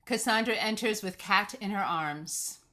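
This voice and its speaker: A woman's voice: medium pitch, measured pace, bright, forceful tone. A woman reading screenplay stage directions as scripted narration.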